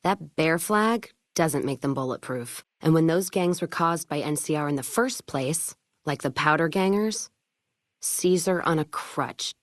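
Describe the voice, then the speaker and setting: Tough wasteland caravan woman: low voice, blunt, wry, hard-drinking game character, close dry recording. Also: expressive, a little slow, no echo. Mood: weary, matter-of-fact. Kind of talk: video game character dialogue.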